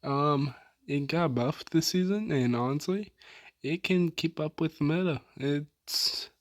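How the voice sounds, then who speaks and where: A young man with a hoarse, tired voice, casually running through his favorite weapon builds with lots of fillers and trailing sentences. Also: fairly high pitch, takes it slowly, no room sound, studio quality. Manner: laid-back, filler-heavy, trailing off. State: hoarse, soft on mic. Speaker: gaming YouTuber.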